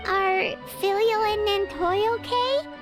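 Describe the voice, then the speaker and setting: Pint-sized friendly game sprite, extremely high childlike voice over clear music. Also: female voice, fairly even intonation, slow pace. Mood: concerned. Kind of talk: video game voice acting.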